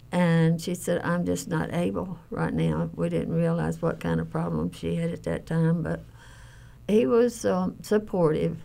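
A middle-aged woman recalling family caregiving in an interview, speaking plainly, haltingly and earnestly. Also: lowish voice, creaky, warm tone. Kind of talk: personal interview recollection.

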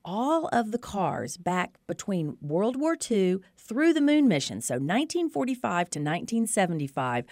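A woman's voice: medium pitch, very animated, normal speed, few pauses, darkish tone, no echo, clean studio sound. A woman on local radio giving an informative, conversational rundown of community events with emphatic, promotional phrasing.

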